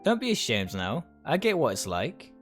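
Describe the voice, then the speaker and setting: Man playing chummy teasing doctor, swooping pitch. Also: wildly swooping pitch, normal speed, faint music behind. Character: casual, teasing male hospital doctor, chummy and blunt. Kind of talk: visual novel character read aloud.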